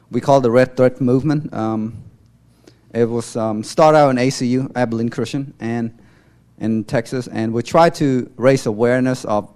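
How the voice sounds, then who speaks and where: A young man from Cambodia giving an informal, halting church testimony as a mission volunteer, often searching for words. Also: mid-range voice, darkish tone, clear, resonant tone.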